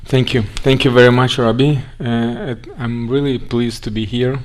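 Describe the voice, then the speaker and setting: A man with a Russian accent, raised in Siberia, giving a conference talk in a halting way with frequent restarts. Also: medium pitch, little pitch movement, darkish tone. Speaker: guest speaker.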